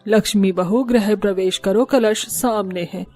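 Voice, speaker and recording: Woman as a mellow, kindly mother-in-law. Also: mid-range voice, fairly even intonation, measured pace, soft background music, natural voice. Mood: welcoming. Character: kindly middle-aged mother-in-law running the household. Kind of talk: Hindi moral-story cartoon dubbing.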